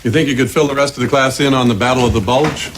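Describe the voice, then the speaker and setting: A man playing a dry, patient high school history teacher in a 1980s sitcom, keeping a rowdy class in line. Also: steady tone, fast talker, natural voice. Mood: dry, wry. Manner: dry, wry, firm with the class. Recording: noisy TV audio, studio audience laughter.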